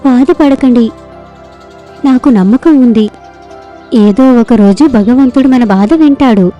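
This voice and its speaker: A woman voicing a gentle, hopeful village wife in an animated story, soothing and earnest. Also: fairly high pitch, unhurried, muffled recording, faint music behind, natural voice. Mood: consoling.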